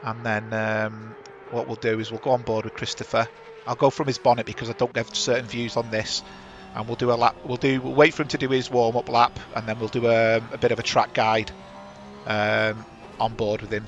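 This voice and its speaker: A man's voice: fairly low pitch, level tone, a little fast, a little hiss or hum. A chatty man hosting a sim racing stream on a headset, talking over the action and correcting himself. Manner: chatty, rambling, self-correcting. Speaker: sim racing broadcast host. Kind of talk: live race commentary.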